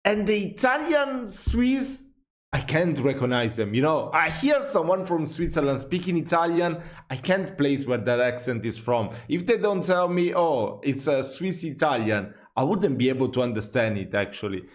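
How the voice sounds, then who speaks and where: A man's voice: fairly high pitch, lively intonation, normal speed. A man hosting a reaction video, chatting casually and thinking aloud with fillers and small laughs.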